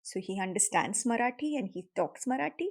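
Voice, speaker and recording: A middle-aged woman with an Indian accent talks in a casual podcast chat. Her voice sits a little high, and she speaks in an earnest, reflective way and laughs easily. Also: expressive, brisk, slightly rough. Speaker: podcast co-host, mother. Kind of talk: casual conversation.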